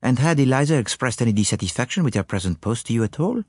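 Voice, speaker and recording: Man doing a foreign male detective, courteous, flattering, formal phrasing, very animated pitch, audiobook character. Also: fast talker, breathy edge, dry recording, studio quality. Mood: kindly, inquiring. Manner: formal, precise, coaxing.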